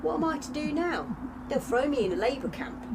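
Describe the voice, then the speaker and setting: A woman's voice: sits high, expressive, a little fast, background noise. A British woman playing a streetwise, exasperated young servant, blunt and slangy, in an audio drama.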